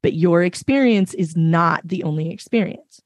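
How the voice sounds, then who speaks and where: A woman with a fairly low voice, speaking emphatically and passionately as a panelist in a casual discussion. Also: normal speed, no echo, clean studio sound. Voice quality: reasonably low voice. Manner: emphatic, passionate, many fillers. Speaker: podcast panelist.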